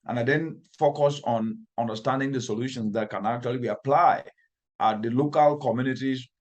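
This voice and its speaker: A man with a Cameroonian accent speaks as an interviewed expert at a middling pitch. He tells things earnestly, leaning on repeated phrases to build emphasis. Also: fairly quick. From northwestern Cameroon. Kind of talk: earnest interview answers, storytelling.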